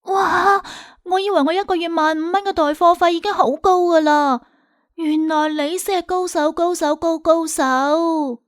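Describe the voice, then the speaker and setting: Woman putting on a young gal pal, chatty, exclamatory, teasing, high-pitched, audiobook drama, close dry sound. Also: steady tone, a little slow, no echo. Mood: amazed. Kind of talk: audiobook character dialogue.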